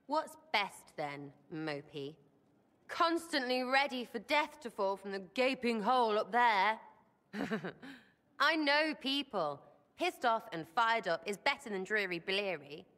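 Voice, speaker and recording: A woman's voice: fairly high pitch, very animated, slow pace, a bit bright, big-room sound, heavily acted. A woman playing a mischievous, blunt young elven rebel in a video game, with an English accent and a cheeky, slangy, irreverent way of talking.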